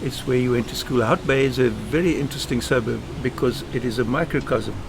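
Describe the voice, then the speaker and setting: A South African man giving measured, emphatic answers as a podcast guest. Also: mid-range voice, creaky, noisy background. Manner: measured, emphatic, speaks in short firm statements. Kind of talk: earnest interview answers. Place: home.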